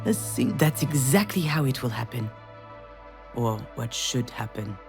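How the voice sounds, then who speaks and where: A woman's voice: extremely low pitch, expressive, unhurried, music in the background, exaggerated voice. An actress playing an anxious, self-deprecating writer in her thirties in a video game, with a hesitant, wry, introspective delivery. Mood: bitter introspection. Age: 30s. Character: anxious, self-deprecating 36-year-old failed writer daughter. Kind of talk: naturalistic game voice acting, dialogue and inner monologue.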